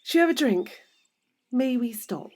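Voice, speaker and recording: A woman's voice: on the high side, lively intonation, normal speed, darkish tone. A woman with a British accent playing an anxious, yearning middle-aged professional in an audio drama, with an emotional, hesitant, well-spoken delivery. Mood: vulnerable.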